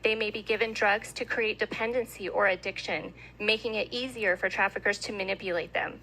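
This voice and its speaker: A woman reads prepared testimony to a legislative committee in a steady, measured way. Her voice is bright and clear at a middle pitch. Faint room noise hums behind her. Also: rough recording. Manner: steady, reading prepared statement. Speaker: advocacy group representative.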